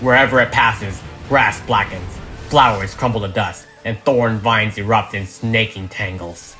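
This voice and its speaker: Bright-voiced dramatic script narrator over music. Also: medium pitch, measured pace. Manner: dramatic, reads stage directions.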